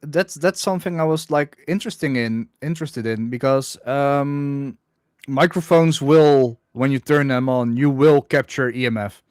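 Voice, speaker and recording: A man from the Netherlands, hosting a casual livestream chat in a droning, halting way. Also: medium pitch, clean tone, no echo, studio quality. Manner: hesitant, many restarts, self-described droning monotone. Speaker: livestream host.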